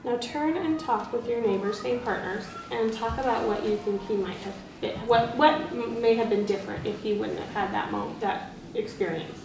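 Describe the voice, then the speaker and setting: A woman's voice: a little high, normal speed, gravelly. A woman training as a teacher, leading a read-aloud discussion with encouraging questions. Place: indoor room with background noise. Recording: roomy, distant mic, noisy classroom.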